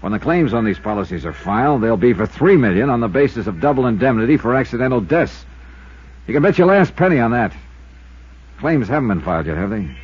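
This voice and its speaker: A man's voice: medium pitch, big pitch swings, fairly quick, darkish tone, breathy edge. An American man playing a shrewd, wry insurance investigator in a 1950s radio drama, narrating and talking in clipped, hard-boiled phrases. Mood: probing, firm. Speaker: star of a radio detective series. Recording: muffled vintage 1950s radio recording, music behind.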